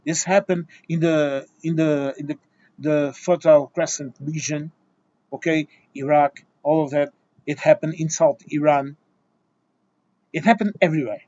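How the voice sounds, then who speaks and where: A man's voice: a little high, steady tone, measured pace, a little mellow, lo-fi sound. Exasperated Portuguese man lecturing. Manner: exasperated, lecturing, many restarts and repeated words. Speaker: video essayist. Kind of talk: impassioned opinion monologue.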